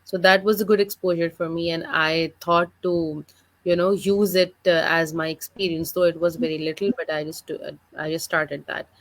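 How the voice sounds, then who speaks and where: A woman's voice: lowish voice, steady tone, normal speed, dry recording, poor audio. A woman from Pakistan in her thirties, a guest on a video call interview, telling her story in a friendly, fluent way with many filler uhs. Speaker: guest, digital business mentor. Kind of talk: online interview, personal story.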